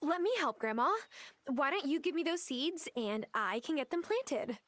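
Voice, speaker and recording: High-voiced eager young farm newcomer. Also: female voice, lively intonation, moderate pace, dry recording. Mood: eager, helpful. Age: young adult. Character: friendly, eager young woman newly moved to a farm. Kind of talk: video game voice acting.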